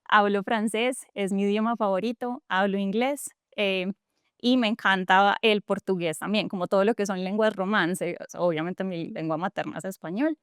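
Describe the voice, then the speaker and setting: A woman's voice: medium pitch, fairly even intonation, normal speed, clean tone, no room sound, clean studio sound. A woman in her thirties from Colombia's coffee region, speaking with its accent as an interview guest, earnest and reflective with many fillers and restarts. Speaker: guest, tech entrepreneur.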